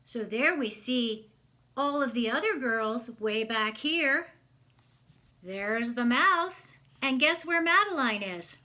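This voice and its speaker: A woman's voice: a little high, expressive, slow, sharp tone. A woman reading a picture book aloud to children, expressively, stopping to ask them simple questions. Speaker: children's read-aloud storyteller.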